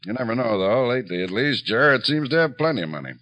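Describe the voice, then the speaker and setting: An actor playing a folksy, unhurried small-town police chief, a man in a 1950s radio drama. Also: medium pitch, very animated, bright, forceful tone. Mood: easygoing, reflective.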